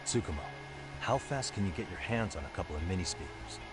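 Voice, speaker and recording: A man playing a level-headed private detective in a video game, speaking in a measured, assured way. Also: deep voice, animated, over loud music. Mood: earnest, determined. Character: level-headed male private detective plotting against bullies. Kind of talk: video game dub acting.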